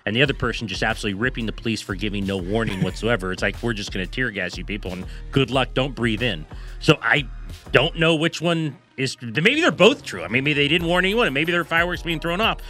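Sports talk cohost guy, rambly and jokey, bright sharp voice, faint music. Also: medium pitch, animated, fast. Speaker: sports talk show co-host.

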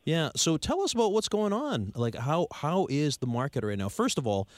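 A man's voice: fairly high pitch, big pitch swings, normal speed, dry recording. A man hosting a radio home show, upbeat and chatty, often jumping in with comments.

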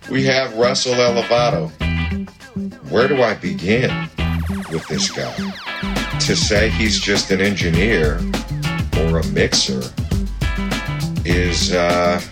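A man's voice: on the low side, takes it slowly, pauses often, loud music behind the voice. A man hosting a casual live-stream interview, talking in an admiring, emphatic way. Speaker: host.

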